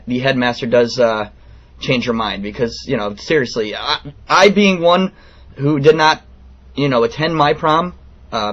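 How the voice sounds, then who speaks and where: A man in his late twenties speaks in a fairly high voice on a muffled, lo-fi call. He is earnest and persistent, stumbling through an informal complaint as he keeps pushing his point. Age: late 20s. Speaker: radio show host calling in a complaint. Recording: dull, lo-fi phone call recording.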